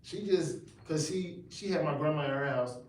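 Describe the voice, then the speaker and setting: A man's voice: on the high side, normal speed, a little mellow. A man in his thirties, a gospel rapper talking casually in a livestream interview, rambling with slang and many restarts. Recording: echoey room, distant mic, faint noise.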